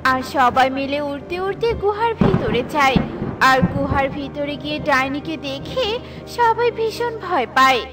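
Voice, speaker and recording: Children's story narrator, woman: notably high voice, scripted delivery, over a music bed. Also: lively intonation, unhurried. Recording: music behind the voice.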